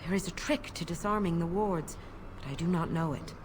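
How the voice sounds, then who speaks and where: A low-voiced, seductive female demon in cat form, played by a woman for a fantasy game. She speaks in silky, formal, coaxing phrases over clear background noise. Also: a little fast, natural voice. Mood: coolly bargaining. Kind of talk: video game character acting.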